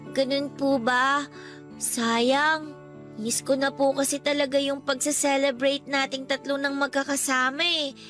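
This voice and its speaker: A woman's voice: high voice, little pitch movement, a little slow, fairly bright tone, soft background music. A woman playing a sweet, polite eleven-year-old girl in a radio drama, with a childlike, respectful manner. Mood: wistful, pleading. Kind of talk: Tagalog radio drama acting.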